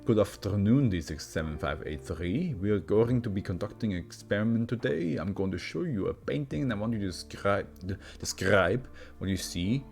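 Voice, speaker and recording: A formal male research doctor, played by a man reading a story, low in pitch and detached. He speaks in a clinical, polite, measured way, over faint music. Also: animated, vocal fry, darkish tone. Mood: clinical, composed.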